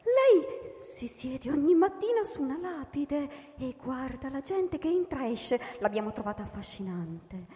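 A serene, curious alien speaks with gentle formality. The voice sits high but stays velvety and dark. It floats in a long echo, in the manner of radio drama. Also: female voice, sing-song, unhurried, few pauses. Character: friendly female-voiced alien, serene, curious, church-loving. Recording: echoey, long reverberant space.

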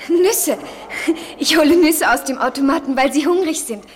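Radio play role: actress as dreamy, eager woman in her twenties, high girlish voice, poetic delivery. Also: moderate pace, slight background noise, lightly acted. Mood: bright, playful. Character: Jennifer, eager, dreamy 23-year-old American student in love. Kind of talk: 1970s German radio play acting.

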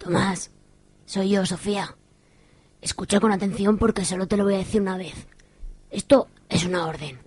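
A woman's voice: medium pitch, steady tone, moderate pace, long pauses, slightly rough, no room sound. A woman playing a secretive commanding caller. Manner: firm, secretive, hushed. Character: commanding mysterious woman on phone. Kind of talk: amateur radio drama acting.

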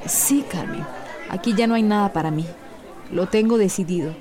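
A woman's voice: mid-range voice, normal speed, music in the background, a crowd in the background, lightly acted. An actress playing a disheartened woman set on leaving home in a radio soap. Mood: dejected, resolute. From Central America. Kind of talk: radio soap opera acting.

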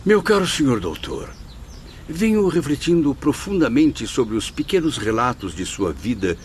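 A man's voice: medium pitch, sing-song, moderate pace, a little hiss or hum, lightly acted. An actor in a radio drama playing the spirit of a proud Portuguese male novelist, florid, formal and theatrical. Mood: courteous, eager.

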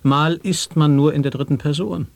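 An actor in a radio play reciting nonsense word play in a deliberate, pondering way. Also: male voice, fairly high pitch, fairly even intonation, gravelly, darkish tone, dry recording. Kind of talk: reciting sound poetry.

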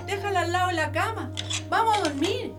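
A woman's voice: very high voice, fairly quick. A woman acting an anxious, superstitious, bossy country wife in a radio drama, speaking colloquial Chilean Spanish. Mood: uneasy. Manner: colloquial, exclamatory country speech. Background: prominent music.

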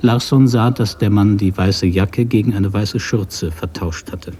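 A man narrating a literary radio drama from a script in a measured, sober manner. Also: on the low side, dark tone, slightly rough.

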